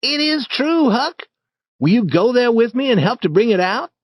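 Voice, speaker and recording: A man's voice: very high voice, normal speed, husky, no echo, pitched above the performer's own voice, heavily acted. A man reading a story, voicing a bold, eager young boy who leads the way. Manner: confident, excited, urging.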